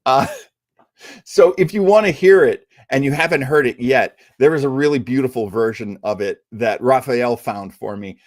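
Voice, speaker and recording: A man's voice: mid-range voice, no echo, studio quality. A man speaking as a radio show host, giving a casual, rambling live introduction like an enthusiastic, chatty storyteller. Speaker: host of an old-time radio listening show.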